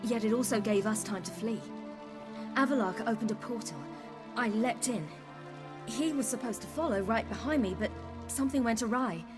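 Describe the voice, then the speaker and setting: An actress playing a guarded young woman recounting her flight to a companion in a video game, measured and matter-of-fact, with music under her voice. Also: a little high, lightly acted. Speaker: game character. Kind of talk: recounting a story in dialogue. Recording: game audio over music.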